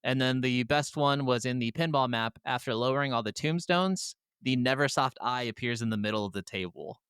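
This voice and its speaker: A man with a clear, smooth voice at an average pitch, recorded very dry. He talks like a podcast co-host in loose, casual conversation about gaming, with some swearing thrown in. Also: brisk, fairly bright tone, no room sound. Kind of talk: casual chat about video games.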